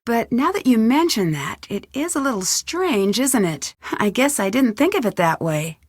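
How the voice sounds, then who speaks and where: An inquisitive young American sleuth in video game dialogue. Her voice sits a little high, with an earnest, thoughtful way of explaining things. It sounds close and dry, with no room around it. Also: very animated, normal speed, slightly rough, dry recording. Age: young adult.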